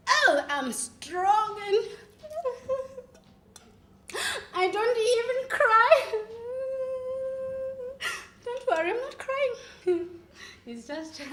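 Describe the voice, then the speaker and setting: A woman's voice: high voice, a bit bright, heavily acted. A woman playing a volatile, heartbroken young woman in a melodramatic acting monologue. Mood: tipsy, hurting. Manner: melodramatic, tearful outbursts and drawn-out pleading. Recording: echoey room.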